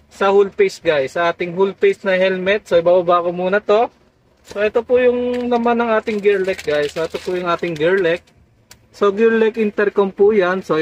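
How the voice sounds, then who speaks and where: A Filipino man with a noticeably high voice narrates a casual hands-on tutorial. He keeps speaking straight to his viewers as he explains each step. The audio is dull and lo-fi. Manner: repeatedly addresses viewers as guys. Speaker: tutorial vlogger.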